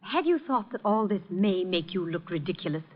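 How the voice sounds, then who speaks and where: A woman's voice: on the low side, animated, moderate pace. A woman playing a guarded, haughty suspect in a 1950s radio drama, clipped, controlled and challenging. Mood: haughty, daring. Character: cool, haughty, guarded woman under suspicion. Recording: muffled vintage 1950 radio recording.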